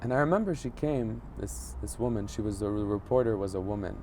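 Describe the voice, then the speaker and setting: A man in his thirties recounts a childhood memory with a fairly low voice. He speaks reflectively, pausing and reaching for words with small fillers. Faint street noise and passing vehicles sit behind him. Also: dark tone. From Egypt. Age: 30s. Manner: thoughtful, searching for words, frequent fillers. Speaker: journalist guest. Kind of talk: reflective interview storytelling.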